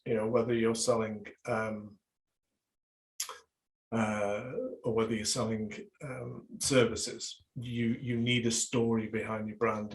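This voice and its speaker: A man coaching a webinar over a video call, his voice a touch strained as he loses it. He talks in a flat, even line, stopping often on fillers and restarting his sentences. He sits at a middle pitch. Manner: hesitant, many fillers and restarts. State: losing his voice. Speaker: business and marketing coach leading a webinar. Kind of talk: live online workshop presentation.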